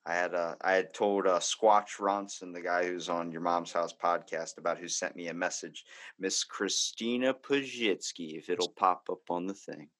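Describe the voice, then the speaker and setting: A man with a Baltimore accent chatting casually on a podcast, telling animated stories and acting out quotes. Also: low voice, moderate pace. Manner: animated storyteller, acts out quotes.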